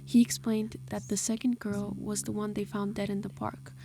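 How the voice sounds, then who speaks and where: A woman narrating a scripted horror story in a measured storytelling manner. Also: mid-range voice, flat delivery. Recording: clear music behind the voice. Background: music.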